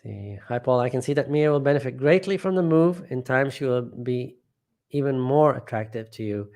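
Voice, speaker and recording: Man hosting a relaxed home live stream, mid-pitched voice, reads out chat comments, meanders and restarts his sentences. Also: normal speed. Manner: rambling, often trailing off and restarting. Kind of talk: casual live chat, reading viewer comments aloud.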